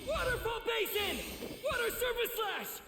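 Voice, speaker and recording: A man's voice: very high voice, animated, measured pace, reverberant, long reverb tail, music in the background, full character voice. An actor playing an earnest, kind and determined teenage boy demon slayer in an anime game. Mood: frantic battle cries.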